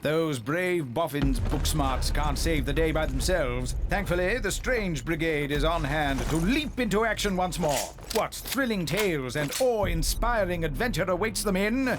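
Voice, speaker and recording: An actor playing a jolly, theatrical British narrator of a 1930s-style adventure serial, with plummy delivery. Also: male voice, on the high side, animated, moderate pace, hardly any pauses, noisy background, natural voice. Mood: rousing, jaunty. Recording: loud music behind the voice.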